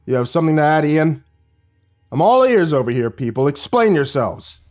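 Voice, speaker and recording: A man's voice: fairly high pitch, sing-song, moderate pace, a little mellow, voice pushed up. A man voicing a mild-mannered school principal who sputters and turns stern and demanding. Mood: stern anger.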